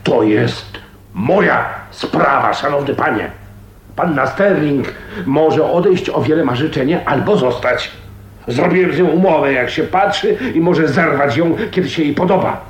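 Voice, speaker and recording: An actor playing a rough, defiant rustic older man in a radio drama, folksy, blustering and mockingly polite. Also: a little high, measured pace, lightly acted. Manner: folksy, blustering, mock-deferential. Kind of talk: Polish radio drama acting. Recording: roomy echo, faint noise, 1980s radio drama.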